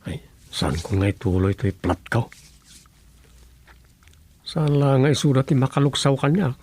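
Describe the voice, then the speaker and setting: A man's voice: mid-range voice, expressive, moderate pace, darkish tone, slight background noise, natural voice. A man playing a writer who hesitantly mutters and thinks aloud in a radio drama. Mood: pondering, muttering. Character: musing male drama writer talking to himself. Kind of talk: radio drama comedy acting.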